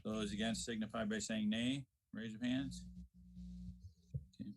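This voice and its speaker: A man chairing a formal board meeting, speaking off the cuff in a hesitant, halting way. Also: fairly low pitch, fairly even intonation.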